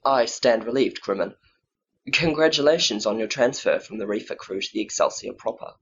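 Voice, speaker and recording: A man's voice: lowish voice, a little fast, a bit bright, muffled recording, a little hiss or hum. A man playing a dutiful but sly starship engineer in an audio drama, respectful on the surface and a little evasive. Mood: brisk, sly.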